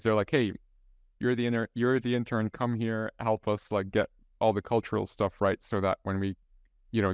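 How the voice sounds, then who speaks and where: Young man, halting host. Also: fairly low pitch, no room sound, clean studio sound. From Egypt. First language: Arabic. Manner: halting, many restarts and "like" fillers. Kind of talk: casual interview chat.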